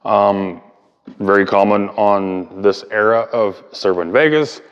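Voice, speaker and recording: Male hobbyist host, low clean voice, informal hands-on explainer delivery, thinking aloud, reverberant room sound. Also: slow pace, clean tone, highs cut off. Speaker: hobbyist video host and speaker restorer. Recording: echoey room with long reverb.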